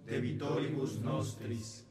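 A man's voice: mid-range voice, fairly even intonation, takes it slowly, darkish tone, soft background music, lightly acted. A man playing a devout worshipper reciting a solemn response prayer in a radio drama.

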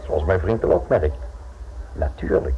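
An actor playing a theatrical Italian mafia enforcer in a Dutch radio play, mock-courteous and insinuating, pausing deliberately for effect. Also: male voice, rumbling low, expressive, gravelly, exaggerated voice. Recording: dull, noisy old radio play recording.

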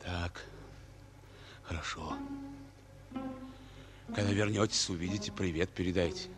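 An actor playing a stoic, terse Soviet infantry captain in a radio play, with a restrained delivery. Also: male voice, low voice, steady tone, measured pace, music in the background. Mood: restrained tenderness. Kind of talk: Russian radio play acting.

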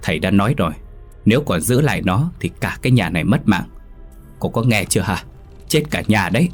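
Man voicing a stern, angry, low-voiced patriarch. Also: lively intonation, moderate pace, fairly bright tone, soft background music. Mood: furious. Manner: curt, commanding, scolding. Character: stern, hot-tempered patriarch father-in-law, middle-aged or older.

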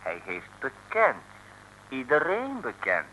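An actor playing an officious communist interrogator who bullies and grovels, in an old Dutch comic radio serial. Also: male voice, mid-range voice, wildly swooping pitch, slow pace, pushed and bright, exaggerated voice. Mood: domineering, menacing. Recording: faintly noisy old radio recording.

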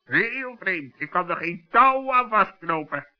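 Stuffy dignified bird, high voice, reproving, old kids radio play. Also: male voice, animated. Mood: dry, reproving. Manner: formal, deliberate, reproving. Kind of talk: 1960s children's radio play acting. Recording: dull old radio recording with music.

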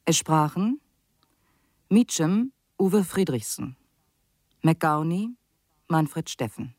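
Low, mellow woman announcer, very dry sound. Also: no room sound. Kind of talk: scripted radio credits.

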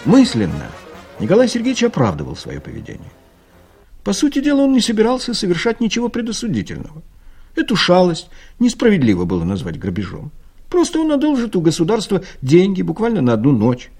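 A man's voice: sits high, huge pitch swings, a little fast, a little mellow, breathy edge, faint music behind. A man reading scripted literary narration for an audio play, telling the story expressively.

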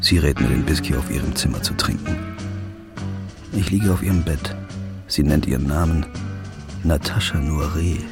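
Tired brooding narrator, real deep low voice, loud music under it. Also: male voice, normal speed, creaky, long pauses. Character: weary, drifting man hunting his girlfriend's killer. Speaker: first-person narrator and protagonist. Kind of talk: radio play narration and dialogue.

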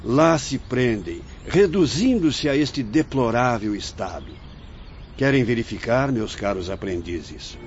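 A man's voice: medium pitch, animated, a little slow, natural voice. A man acting in a radio drama as a grave, fatherly Brazilian spirit director who instructs his apprentices in a solemn, declamatory way. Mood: grave, admonishing. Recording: muffled lo-fi audio with faint noise.

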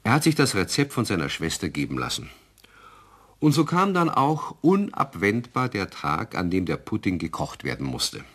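A man's voice: deep voice, wildly swooping pitch, normal speed, fairly bright tone, slightly rough, heavily acted. A man narrating a scripted children's radio play in storybook style. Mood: storytelling.

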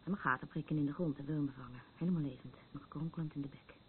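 A haunted, fearful woman in a radio play, acted by a woman, who sees herself as a watcher in the dark. She rambles earnestly, like someone warning of doom. The sound is thin and phone-like, with faint noise behind it. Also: low-pitched, steady tone, quick pace, lightly acted. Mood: haunted, subdued. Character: troubled, fearful woman, self-appointed night watcher and doomsayer.

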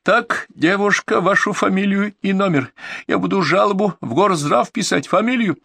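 A woman's voice: on the low side, moderate pace, creaky, dry recording, clean studio sound, voice pushed up. A man voicing a furious, abusive woman caller with asthma who screams down the phone at an ambulance dispatcher. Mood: shrieking.

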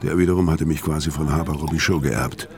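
A man's voice: a bass voice, fast. An actor playing a bitter, world-weary hitman who narrates and speaks tersely in a noir radio play. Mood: bitter, laconic. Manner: terse, deadpan. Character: hard-boiled middle-aged hitman, bitter, violent, world-weary loner.

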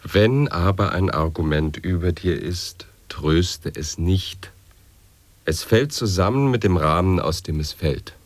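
A solemn man declaiming nonsense aphorisms in an experimental radio play. His voice sits fairly low and is recorded dry and close to silent surroundings. The delivery is measured and declamatory. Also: no room sound. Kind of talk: avant-garde radio play recitation of sound poetry.

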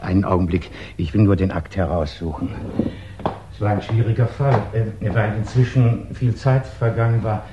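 An actor playing a businesslike, discreet private detective in a 1960s German radio crime drama, speaking formally and deliberately with small hesitant pauses. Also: male voice, lowish voice, steady tone, dull audio, heavy background noise, natural voice.

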